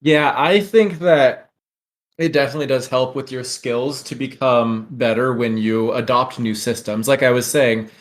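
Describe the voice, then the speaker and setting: A man's voice: mid-range voice, fairly even intonation, moderate pace. A man chatting casually as a podcast host, in an agreeable, supportive manner.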